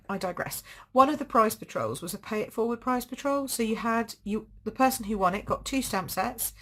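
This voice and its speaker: A slightly croaky woman, gushing chattily over craft supplies on a home live stream. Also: mid-range voice, fairly bright tone. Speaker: card-making demonstrator hosting a live stream. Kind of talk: casual live-stream show and tell.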